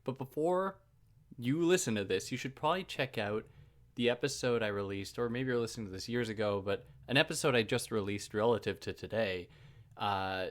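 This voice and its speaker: A man's voice: lowish voice, brisk. A man hosting a philosophy podcast, explaining ideas in a casual, chatty way, joking at himself and wandering off on side points. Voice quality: soothing voice. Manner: chatty, self-deprecating, goes off on tangents.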